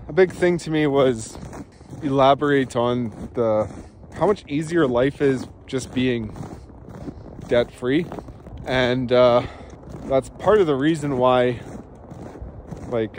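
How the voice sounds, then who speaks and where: Thirties male vlogger, easygoing and rambling, mid-pitched, phone at arm's length, faint background noise. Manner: relaxed, rambling with many fillers. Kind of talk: casual vlog chat. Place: in a truck and outdoors on a snowy trail. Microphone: phone held at arm's length.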